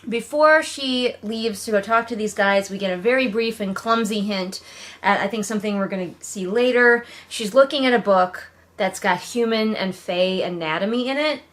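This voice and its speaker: A woman with a mid-range voice hosts a casual read-along review. She is sarcastic and puts animated, mocking emphasis on her points as she comments. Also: medium pitch, normal speed. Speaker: book reviewer and host.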